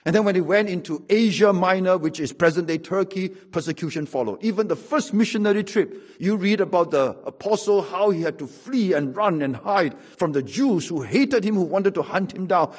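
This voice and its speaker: Singaporean man preaching, husky voice. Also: sits high. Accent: Singaporean English. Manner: storytelling with wry humor, emphatic repetition. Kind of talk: sermon.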